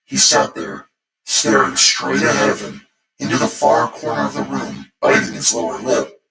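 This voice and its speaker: A man narrating a spooky bedtime story as its host, in a deliberate, theatrical manner. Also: lowish voice, big pitch swings, vocal fry. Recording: echoey, distant voice over music. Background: music.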